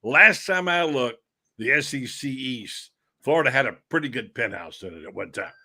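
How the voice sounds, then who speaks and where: Male sports pundit, gravelly and sharp-toned, fired-up ranting delivery. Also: medium pitch, expressive, normal speed. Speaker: sports radio panelist. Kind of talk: heated sports talk.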